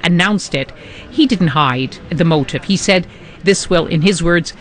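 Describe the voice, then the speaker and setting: Guy correspondent, bit higher voice, talking through the news, lots of uhs and restarts. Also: fairly bright tone. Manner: fluent, measured, with frequent restarts. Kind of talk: live news analysis.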